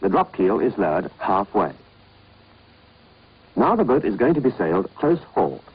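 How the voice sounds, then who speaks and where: A man's voice: on the low side, big pitch swings, moderate pace, slightly rough. A British man narrating an instructional training film in a clipped, scripted, instructive manner. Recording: dull, band-limited old film soundtrack.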